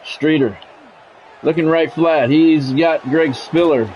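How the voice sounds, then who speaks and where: A man's voice: fairly high pitch, takes it slowly, a little mellow, rough recording. A man works as a football play-by-play announcer. He calls the action in short, clipped phrases. There is faint noise behind him. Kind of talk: American football game commentary.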